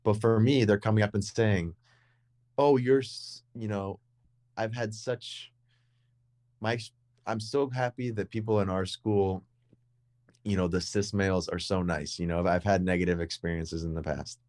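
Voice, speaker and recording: Young male podcast co-host, deep voice, rambling, repeats himself. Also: fairly even intonation, moderate pace, a little mellow, no echo, studio quality. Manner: rambling, repeats words and restarts often. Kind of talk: casual conversation.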